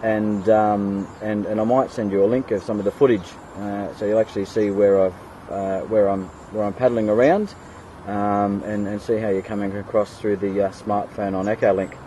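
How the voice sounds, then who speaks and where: Dark-voiced Australian man on ham radio. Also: lowish voice, fairly even intonation, normal speed. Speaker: amateur radio operator. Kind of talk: casual radio chat. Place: outdoors on a kayak on the water. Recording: dull radio audio with faint outdoor noise.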